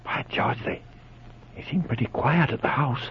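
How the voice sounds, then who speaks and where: An actor playing a wary, loyal marshal, hushed and plain-spoken, in a 1940s radio adventure serial. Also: male voice, mid-range voice, moderate pace, natural voice. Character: wary, loyal marshal, the inspector's male sidekick. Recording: muffled, noisy old radio recording.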